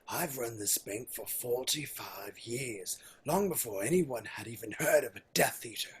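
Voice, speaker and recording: A man playing an aged, proud goblin bank manager in a table read, formal and haughty, with a very raspy voice. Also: medium pitch. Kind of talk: amateur screenplay table read.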